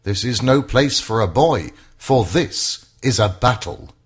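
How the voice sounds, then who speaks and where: Man as stern, scolding older brother, swooping pitch. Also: animated, unhurried, husky. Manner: scolding, rhyming speech. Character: stern, dismissive older brother, a soldier at battle.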